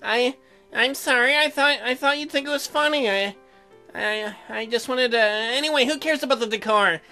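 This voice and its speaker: A woman's voice: fairly high pitch, sharp tone. A woman voicing an earnest, apologetic young newlywed husband who is sweet on his wife, reading visual novel dialogue. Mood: sheepish, hurt. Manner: earnest, halting, self-deprecating. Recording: music behind the voice.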